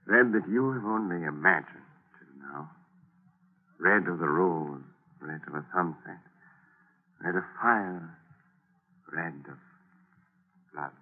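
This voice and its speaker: An actor playing an intense, solemn scientist obsessed with his invention, deliberate and portentous, in 1940s radio style. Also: low-pitched, full character voice. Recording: muffled, phone-like 1940s radio recording.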